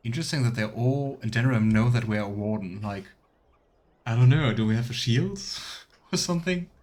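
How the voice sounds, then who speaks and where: A man's voice: medium pitch, normal speed, darkish tone. A man streaming a fantasy role-playing game, reading text aloud and chatting in a playful, self-questioning way.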